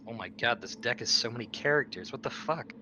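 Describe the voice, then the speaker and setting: A man's voice: mid-range voice, animated, a little fast, highs cut off, background noise. A man chatting casually as a player in a tabletop game. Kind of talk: casual chat.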